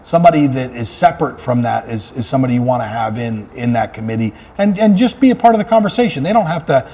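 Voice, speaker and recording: A man's voice: mid-range voice, lively intonation, fast, mellow. A man speaking as a fire chief being interviewed, talking about his work in an earnest, conversational way and repeating phrases for emphasis.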